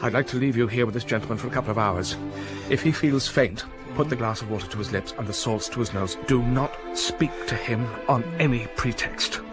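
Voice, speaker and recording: An actor playing a commanding, secretive master of the house, brusque and urgent, in a radio drama. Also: male voice, medium pitch, brisk, over music, natural voice.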